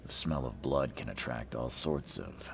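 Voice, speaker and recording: A weary, hard-bitten middle-aged detective in a video game, speaking in a deep bass with rich gravel and a lively, rolling delivery. His remarks come out dry and sardonic. The game audio carries clear background noise. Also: unhurried, heavily acted. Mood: wry grim. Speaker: game protagonist, private investigator.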